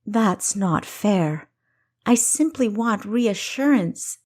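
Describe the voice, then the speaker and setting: A woman's voice: medium pitch, expressive, darkish tone, pitched above the performer's own voice. Woman voicing a weary, resigned older sister. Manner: measured, resigned.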